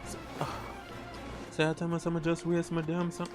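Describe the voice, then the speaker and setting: A man streaming a game with casual, slangy, swearing live commentary and excitable reactions. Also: on the high side, a little fast. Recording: loud game music and effects behind. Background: game music and sound effects.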